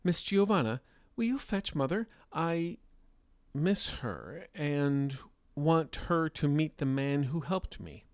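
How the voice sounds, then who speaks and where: A man's voice: a little high, expressive, takes it slowly, a little mellow, dry recording, voice pushed up. A man voicing a self-assured young girl in an audio story, polite but firm, with prim and careful diction. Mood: determined. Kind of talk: scripted audio fiction storytelling.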